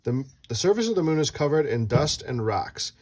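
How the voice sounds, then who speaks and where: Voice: mid-range voice, sing-song, brisk, a little mellow. Someone reading a children's book aloud as host, playfully asking a child questions and adding casual asides.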